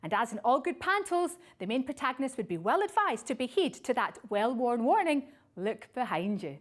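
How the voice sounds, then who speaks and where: A woman presents scripted political commentary in a high voice. Her intonation is very lively, rising and falling widely, with a wry, arch delivery. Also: normal speed.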